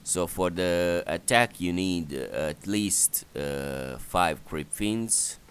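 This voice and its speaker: Male walkthrough narrator, extremely low voice, slow and halting, matter-of-fact, game ambience behind. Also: slight background noise. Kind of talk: unscripted strategy game commentary. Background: game ambience and effects.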